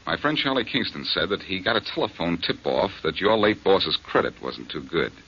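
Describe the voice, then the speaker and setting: Un détective dur et narquois, voix rauque. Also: male voice, deep voice, sing-song, moderate pace, pushed and bright, husky, exaggerated voice. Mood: menacing. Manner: menacing, sardonic. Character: tough, wisecracking male detective. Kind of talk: 1940s radio acting. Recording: dull old radio broadcast.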